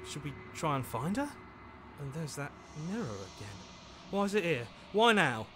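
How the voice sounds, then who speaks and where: A man's voice: a little high, sing-song, normal speed, noisy background. A man playing the anxious, earnest inner voice of a hero in a horror game, uneasy and questioning. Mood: puzzled, worried.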